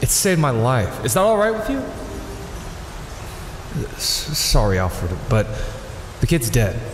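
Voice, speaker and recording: A man's voice: mid-range voice, wildly swooping pitch, slow. A man playing a brooding, guilt-ridden wealthy vigilante in an audio drama. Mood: troubled. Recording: echoey cavern with faint noise.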